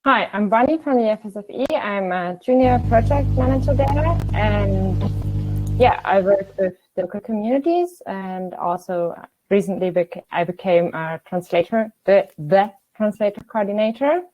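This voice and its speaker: A woman's voice: medium pitch, animated, normal speed, music in the background. Woman introducing herself over dull audio. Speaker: panel guest. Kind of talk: self-introduction on a video call. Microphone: video call.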